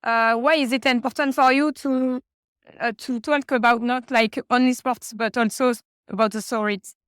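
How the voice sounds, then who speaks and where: A woman with a French accent asks questions hesitantly, pausing often on filler sounds. Her voice sits high, smooth and clear, and the sound is dry and close. She is interviewing at a conference venue. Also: steady tone, a bit bright, no room sound. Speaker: podcast host, interviewer.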